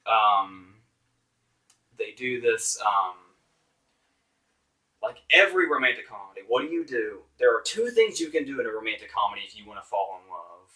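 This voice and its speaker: Guy doing a sweary movie review rant, sarcastic n exasperated, sharp bright voice, mic kinda far away. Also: fairly low pitch, sing-song, normal speed. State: voice getting hoarse. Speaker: movie reviewer, show host. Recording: distant microphone.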